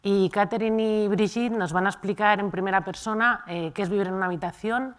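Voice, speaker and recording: A woman moderating a round-table panel at a conference, introducing guests and asking questions with filler pauses. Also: mid-range voice, steady tone. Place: conference hall.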